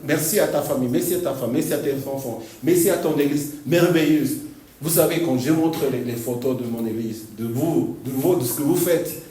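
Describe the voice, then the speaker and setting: Male pastor, stop-start delivery with frequent laughs, mellow voice; distant mic in an echoey church hall. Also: medium pitch. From Argentina. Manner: halting, repeats words, laughs often. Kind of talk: sermon.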